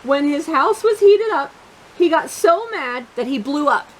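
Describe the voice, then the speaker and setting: A high-pitched woman reads a children's book aloud with very lively, swooping intonation. She stresses and stretches key words and chats to young listeners in asides. A faint-to-clear hiss runs under the recording. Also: unhurried, low-quality recording. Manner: expressive, emphatic, drawing out key words. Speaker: children's storytime reader.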